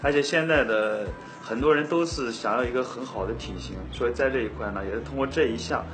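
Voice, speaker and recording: A young man speaks casually in a sit-down interview, pausing with fillers as he explains himself. He is recorded inside a restaurant, and music plays clearly beneath his voice. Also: mid-range voice, fairly bright tone. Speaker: restaurant owner.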